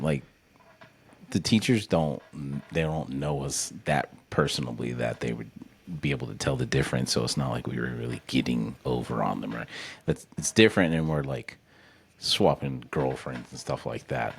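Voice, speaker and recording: A man's voice: very deep voice, gravelly, a little hiss or hum. A young adult man co-hosting a podcast in a laid-back, teasing casual chat. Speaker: podcast co-host.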